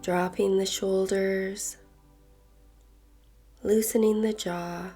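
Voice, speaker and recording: A woman leading a guided meditation in a soft voice that turns breathy at phrase ends, gentle and unhurried with long pauses. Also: medium pitch, steady tone. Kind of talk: guided meditation, slow and soothing narration. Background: soft background music.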